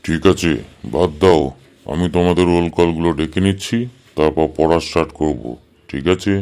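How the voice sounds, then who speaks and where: A man's voice: deep voice, steady tone, a little mellow, smooth, natural voice. A man playing a stern, exasperated schoolteacher in a comedy cartoon, scolding and sarcastic. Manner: scolding, sarcastic, drawn-out hums. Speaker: cartoon voice actor. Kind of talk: Bengali comedy cartoon dub.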